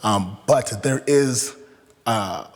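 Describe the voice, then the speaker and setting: A man's voice: on the low side, extremely slow. A young man from Canada talking as an interview guest, reflective and hesitant, with many fillers and restarts. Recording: echoey room with long reverb.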